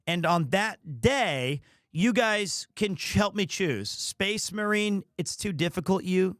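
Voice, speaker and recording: A man's voice: high-pitched, lively intonation, slow pace, a bit bright, no room sound, studio quality. A man hosting a livestream, chatting casually in a rambling, opinionated and playfully defensive way. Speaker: live streamer, host of the show. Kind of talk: casual livestream chat with viewers.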